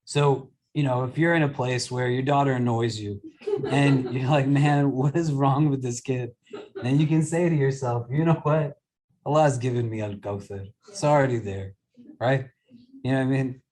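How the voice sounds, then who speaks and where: Male imam, online class, friendly explainer, mid-pitch voice. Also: steady tone, normal speed, a little mellow. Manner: explains patiently, keeps checking in with "right?". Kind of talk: casual teaching discussion. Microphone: video call.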